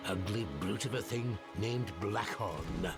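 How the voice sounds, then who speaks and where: A man playing a gruff, blunt old villager who grumbles, in a fantasy video game. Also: on the low side, slow pace. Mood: weary, gruff. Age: older. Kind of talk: dramatic video game acting. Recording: music behind.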